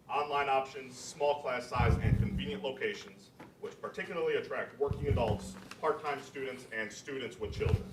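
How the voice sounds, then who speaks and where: A young man reading scripted narration aloud as a student presenter, stumbling now and then. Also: mid-range voice. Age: young adult. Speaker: student presenter and narrator at a readers theater event. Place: room at a college library event. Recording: echoey, distant mic with faint noise.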